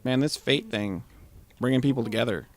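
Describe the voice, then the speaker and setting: A man's voice: mid-range voice, fairly quick. Chatty man co-hosting game commentary. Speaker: gaming show co-host. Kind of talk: casual joking let's play commentary. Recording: faint background noise and game ambience.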